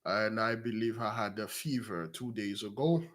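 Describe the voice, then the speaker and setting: A hoarse man congested with a cold, speaking formally as a religious teacher addressing his followers on a livestream. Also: lowish voice, normal speed, fairly bright tone.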